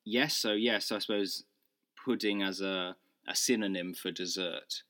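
A young man co-hosting a casual food podcast, explaining things in a measured way with frequent restarts. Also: deep voice, fairly even intonation, sharp tone. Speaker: co-host. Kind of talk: casual chat.

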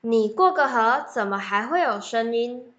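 A woman's voice: a little high, lively intonation, a little slow, dull audio, heavy background noise. A teenage girl playing a trusting elder ghost, a wanderer who is easily exasperated, in an amateur radio drama. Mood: suspicious.

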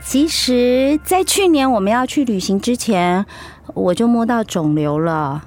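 An actress with a Taiwanese accent playing an upbeat, teasing wife in recovery from illness in a radio drama, playful and reassuring. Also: female voice, on the high side, animated, measured pace, a little mellow, soft background music. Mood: candid. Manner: playful, reassuring, chiding her husband. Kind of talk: Mandarin radio drama acting.

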